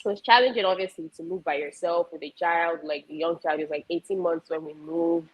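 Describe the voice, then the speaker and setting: A woman's voice: on the low side, very animated, fairly quick, breathy edge, muffled recording. A woman from Nigeria talking candidly as a guest on a panel discussion. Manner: open, candid storytelling.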